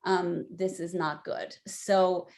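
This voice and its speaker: A woman's voice: lowish voice, slow pace. Candid woman telling her own story. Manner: candid, conversational, animated when quoting herself. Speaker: panelist. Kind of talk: telling a personal story in a webinar. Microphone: video call.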